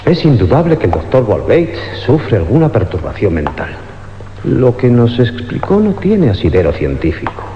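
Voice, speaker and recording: An actor playing a stern, skeptical police inspector in a formal, theatrical radio drama style. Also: male voice, medium pitch, huge pitch swings, normal speed, a little hiss or hum. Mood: puzzled musing. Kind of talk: old radio drama acting. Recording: dull radio recording under loud music.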